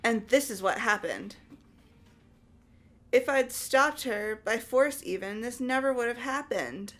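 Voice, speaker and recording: A woman's voice: fairly high pitch, animated, a little slow, a bit bright. A woman voicing an insistent, grieving teenage girl in a video game, speaking earnestly and emphatically. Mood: upset, regretful. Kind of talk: amateur video game voice acting.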